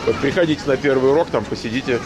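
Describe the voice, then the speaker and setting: Brisk teasing man over loud crowd. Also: medium pitch. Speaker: host of an English school, greeting a new arrival. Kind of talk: casual joking chat on camera. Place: outdoors at an airport baggage area. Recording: loud crowd noise outdoors.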